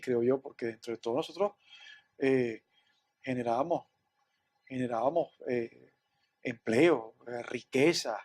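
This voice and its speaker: A man with a Venezuelan accent answering interview questions in an earnest, halting way, often repeating words. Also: medium pitch, darkish tone. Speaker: interview guest, businessman.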